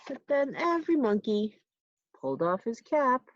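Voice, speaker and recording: Animated woman reading a children's storybook. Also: a little high, normal speed, dry recording, lo-fi sound. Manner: animated, asks listeners simple questions and adds asides. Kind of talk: expressive read-aloud storytelling for young children.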